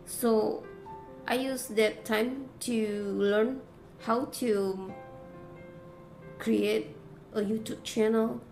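A woman in her thirties with an Indonesian accent gives a prepared speech to camera as a content creator, in deliberate, halting phrases. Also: on the high side, vocal fry, dark tone, rough recording. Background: faint music.

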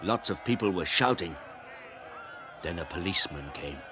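A man reading a simple story for language learners as a narrator, in deliberate, clearly separated short phrases. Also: low voice, expressive, a crowd in the background, noisy background. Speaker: audiobook narrator.

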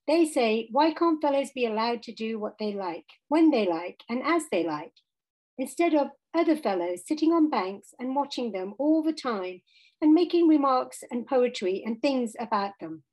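A woman's voice: fairly high pitch, expressive, moderate pace, darkish tone, rough recording. Woman reading as a cheerful, chatty water rat. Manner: chatty, emphatic. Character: good-natured, cheerful male water rat, loyal friend.

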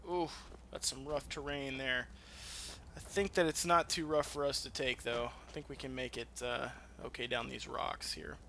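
A man's voice: a little high, sharp tone, slight background noise. A man giving casual, rambling let's play commentary, with some swearing. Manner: rambling, profane. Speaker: gaming video host.

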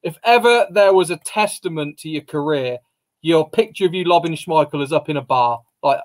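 Chatty high-voiced host. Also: male voice, normal speed. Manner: chatty, agreeable, leading questions. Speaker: interview host. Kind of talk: casual video-call interview. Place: indoor room with banner behind. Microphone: video call webcam.

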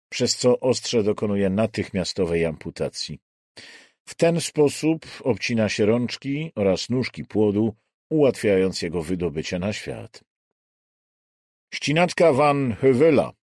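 A man narrating an audiobook in a measured, deliberate way with dramatic literary phrasing. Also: medium pitch, darkish tone, no room sound, clean studio sound.

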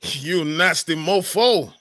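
A man playing a flamboyant, smooth-talking space gambler in a comic table read, teasing and exaggerated. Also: fairly high pitch, slow pace, noisy background. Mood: cheeky.

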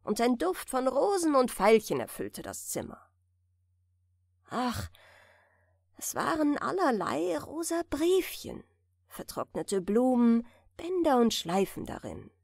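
A woman narrator softly reading a bedtime story in a gentle, soothing manner. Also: sits high, sing-song, creaky, no room sound, studio quality. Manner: gentle, soothing, unhurried delivery. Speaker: audiobook narrator.

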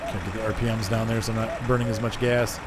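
A hoarse man chatting casually as he streams a driving game, rambling and answering his chat. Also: fairly low pitch, flat delivery, fast talker. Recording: noisy game sound behind.

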